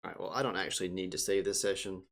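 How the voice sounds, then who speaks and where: A man with a very deep voice talks casually as a game player. He gives spoken instructions in a slightly hesitant, halting way. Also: steady tone. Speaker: player demonstrating a voice assistant.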